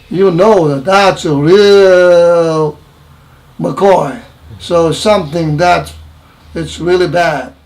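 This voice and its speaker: A man in his seventies from Romania with a Romanian accent, recounting his wartime childhood in an interview in halting, repetitive English. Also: high voice, darkish tone, poor audio. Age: 70s. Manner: halting, repeats phrases, broken English grammar. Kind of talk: personal wartime recollection interview.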